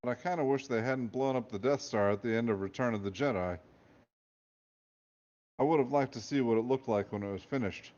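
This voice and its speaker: A man's voice: medium pitch, a little fast, darkish tone, lo-fi sound, natural voice. A man voicing an eager, joking teenage boy.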